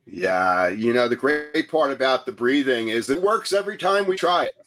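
A man hosting an interview, who also coaches and leads a guided breathing exercise, speaking in a calm, measured, soothing way. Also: mid-range voice. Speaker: host, coach.

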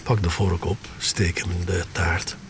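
An actor playing a sneaker-obsessed, wistful man of the future, telling his story in a deliberate, dramatic radio-drama monologue. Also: sits low, flat delivery, noisy background. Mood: subdued, reflective.

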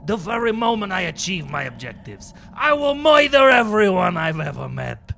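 A man's voice: sits high, big pitch swings, moderate pace, vocal fry, bright, forceful tone, a little hiss or hum, full character voice. A man voicing a posh, evil, pompous British villain with a florid, menacing delivery in a comic read-through. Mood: exasperated, murderous.

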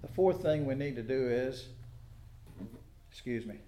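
Male preacher, American accent, mellow tone, echoey room, folksy sermon delivery, deliberate pauses. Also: medium pitch, expressive, a little hiss or hum. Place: church sanctuary during a service.

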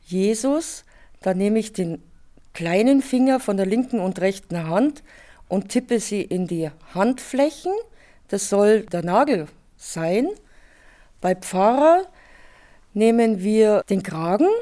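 A woman talks about her work as an interpreter in a relaxed, conversational way. Her voice is mellow and warm at a middle pitch, with occasional hesitations. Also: slow. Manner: conversational, explaining with fillers. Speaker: sign language interpreter. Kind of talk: radio interview soundbite.

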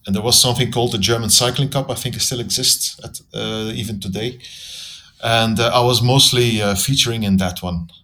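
A man from Belgium with a Flemish accent, speaking as a podcast guest in a relaxed, measured way with frequent pauses and ums. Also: mid-range voice. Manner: thoughtful, measured, with many ums and restarts. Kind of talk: casual interview conversation.

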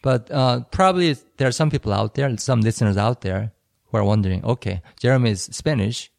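A man co-hosting a podcast, giving steady, measured advice. His voice is low and gravelly, with a mellow, dark tone. The sound is dry and close. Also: no echo. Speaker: podcast co-host. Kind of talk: casual conversational podcast.

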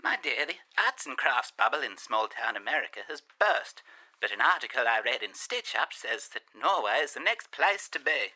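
A man playing an affectionate, excitable sweetheart on the phone in a radio play, with theatrical delivery. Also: mid-range voice, normal speed, heavily acted, low-quality recording. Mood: gushing, excited.

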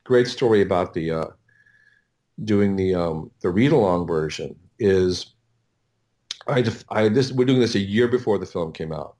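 A middle-aged man with a fairly low voice talks casually as an interview guest. He is a producer recounting his work in a halting, rambling way. He often repeats words and restarts his sentences. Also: expressive, darkish tone.

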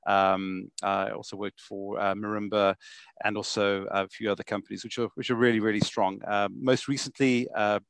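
South african guy on a video call from his attic study, lowish voice, dry sound, restarts his sentences a lot. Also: flat delivery, moderate pace, gravelly, fairly bright tone, clean tone, no room sound. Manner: chatty, repeats words as he starts sentences. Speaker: guest, software sales executive. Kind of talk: casual video podcast interview.

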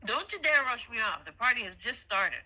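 A woman's voice: on the high side, very animated, fairly quick, sharp tone, full character voice, low-quality recording. A woman reading the part of an eager party guest for an amateur radio play, in a stiff, read-out delivery. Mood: cheerful. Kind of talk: amateur scripted line reading.

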